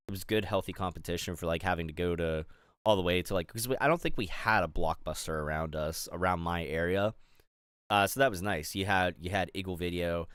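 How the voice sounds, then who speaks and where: A man's voice: deep voice, fairly bright tone, clear, resonant tone, no room sound, studio quality. A middle-aged man from the Pittsburgh area, streaming and rambling casually with lots of fillers and restarts while he builds. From Pittsburgh area, USA. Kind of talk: casual chat while building a toy set.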